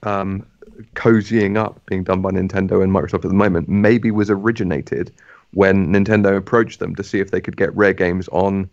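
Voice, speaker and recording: A man's voice: deep voice, steady tone, moderate pace, no echo, highs cut off. A man co-hosting a live podcast, rambling through ideas and speculation with a laugh. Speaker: podcast co-host. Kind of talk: casual live-stream chat.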